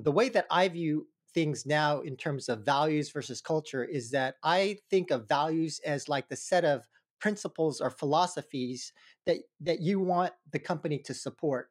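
A man hosting a podcast interview, laying out his ideas carefully with many hedging words. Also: fairly high pitch. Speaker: host.

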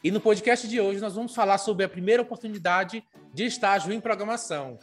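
A man's voice: high voice, fairly quick, soft background music. A man hosting a podcast and putting questions to his guests with many fillers. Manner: steady, filler-heavy questioning. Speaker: podcast host. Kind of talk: podcast interview.

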